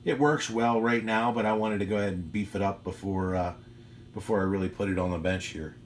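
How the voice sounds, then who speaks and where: A man with a low voice talks casually as a ham radio operator at home. He is chatty, unhurried and modest about himself, with a faint hum behind him. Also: fairly even intonation. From United States. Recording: faint hum and background noise.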